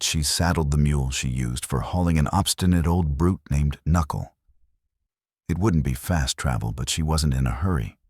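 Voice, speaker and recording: A man narrating a scripted western story in a measured, solemn, dramatic storytelling manner. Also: extremely low pitch, darkish tone, no echo, studio quality.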